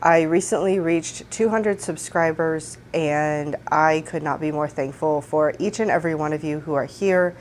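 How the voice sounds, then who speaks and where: A woman in her thirties, slightly congested from a cold, chatting casually and warmly about books she has read, from her home. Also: deep voice, normal speed, hardly any pauses, slight background noise. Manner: friendly, chatty, upbeat. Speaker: book vlogger. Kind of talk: casual book wrap-up chat. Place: home room. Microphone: desk microphone.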